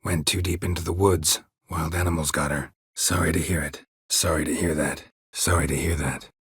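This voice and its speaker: An actor playing a stoic, sardonic monster hunter for hire in a video game, speaking tersely and deadpan in a gravelly, husky voice. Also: male voice, rumbling low, normal speed, no echo, studio quality, full character voice. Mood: dry, laconic. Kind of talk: video game character dialogue.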